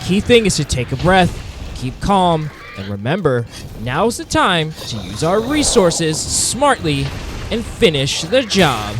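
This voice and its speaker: A man narrating video game play in a theatrical, intense documentary style with deliberate phrasing, speaking as the hunter himself. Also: fairly high pitch, very animated, music in the background. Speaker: gaming video narrator playing a monster hunter.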